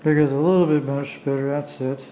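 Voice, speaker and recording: A man's voice: medium pitch, fairly even intonation, dark tone. Man in casual show-and-tell, noisy recording. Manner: halting, apologetic, talking himself through handling the camera. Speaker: amateur radio hobbyist showing a device. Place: indoors at a table. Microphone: handheld camera's own microphone.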